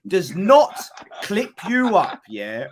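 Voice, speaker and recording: A man bantering and teasing, his pitch bouncing all over as he acts out other people. He is slightly higher voiced than most men and sounds animated. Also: slow pace. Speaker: podcast host. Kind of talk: casual banter.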